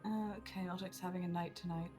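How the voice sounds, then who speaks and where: A woman's voice: fairly low pitch, steady tone, normal speed. A blunt-spoken woman in casual livestream chat with friends, swearing freely. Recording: music playing behind the voice. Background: music.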